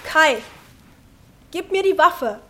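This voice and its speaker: Worried pleading woman in a radio play, really high slow voice, loud background. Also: expressive, full character voice. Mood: urgent, pleading. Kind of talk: radio drama acting.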